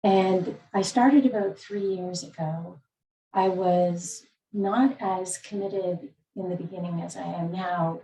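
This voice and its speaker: A woman teacher speaks earnestly over a video call, her voice gravelly and dark. She sits back from the mic in an echoey room, with a faint hiss behind her. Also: lowish voice, far from the mic. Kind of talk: reflective introduction in a video call.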